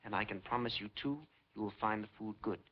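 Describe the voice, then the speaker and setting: An actor playing a polite, unctuous secret-police major who feigns sympathy in a 1950s film. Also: male voice, fairly low pitch, flat delivery, fast talker, lightly acted. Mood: smoothly reassuring. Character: smooth, falsely courteous Soviet secret-police major interrogating a prisoner. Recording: dull, noisy 1950s film soundtrack.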